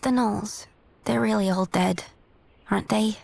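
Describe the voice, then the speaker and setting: A woman playing a gentle, compassionate young oracle healer in a fantasy game, with a soft, breathy, hesitant delivery. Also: mid-range voice, fairly even intonation, measured pace, dry recording. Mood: tender, sorrowful. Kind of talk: video game cutscene acting.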